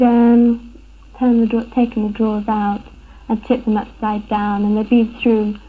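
Young Englishwoman, interviewee, hesitant delivery in a narrow pitch range; tinny 1970s film recording. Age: young adult. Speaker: interviewee, burglary victim. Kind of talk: personal account in a documentary interview. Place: room at home, indoors.

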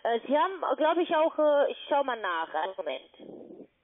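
A woman phone scammer posing as an advisor, speaking halting German and repeating the customer's name. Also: high voice, big pitch swings. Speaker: scam call-centre advisor. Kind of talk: phone sales pitch. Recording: tinny phone line.

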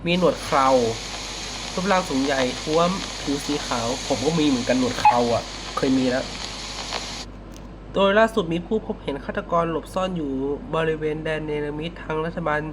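A man giving casual, unscripted reaction commentary as a video host, halting and repeating words as he thinks. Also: fairly high pitch, noisy background.

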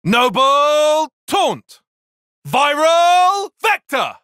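A man's voice: extremely high pitch, very animated, drawn-out words, pushed and bright, dry recording, clean studio sound, heavily acted. An actor voicing an earnest, calculating and proud young male tactician in a video game, speaking formally and precisely with intensity. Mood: battle shouting. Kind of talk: video game battle voice lines.

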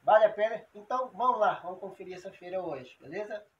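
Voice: mid-range voice, quick pace, distant mic, muffled recording, loud music behind the voice. A vlogger at an outdoor flea market, inviting viewers along and asking vendors for prices. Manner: lively, asks prices. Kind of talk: casual vlog chat. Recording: voice from off the mic.

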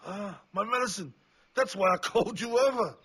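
An actor playing a forgetful, cranky old man in a video game, with a husky voice and a querulous, rambling manner. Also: sits high, moderate pace, a bit bright, exaggerated voice. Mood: confused, rambling. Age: older. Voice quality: husky, raspy. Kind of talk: video game character acting.

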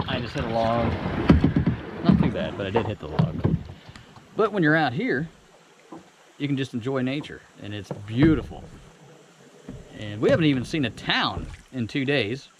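A man's voice: mid-range voice, heavy background noise. A man in his thirties vlogging casually and enthusiastically while paddling a kayak on a creek, filmed on a boat-mounted action camera. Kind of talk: casual outdoor vlog narration. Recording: loud music over outdoor audio. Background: loud music.

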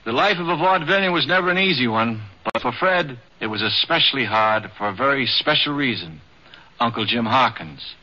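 Male documentary narrator, mid-pitched, scripted fifties radio delivery, muffled vintage recording. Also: lively intonation, brisk, pushed and bright. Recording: dull, band-limited 1950s radio recording.

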